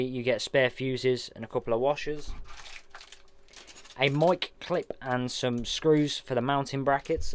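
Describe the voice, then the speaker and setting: A man's voice: medium pitch, slight background noise. A man casually unboxing a radio, chatty and hands-on, narrating each step as he goes. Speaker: vlogger unboxing radio gear. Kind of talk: casual product unboxing walkthrough.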